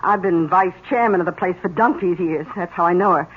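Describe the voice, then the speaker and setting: An actress playing a genteel, effusive older aunt who earnestly believes in psychic gifts, in 1970s radio drama style. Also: female voice, lowish voice, brisk, natural voice. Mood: earnest, enthralled. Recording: muffled old radio recording, faint hiss.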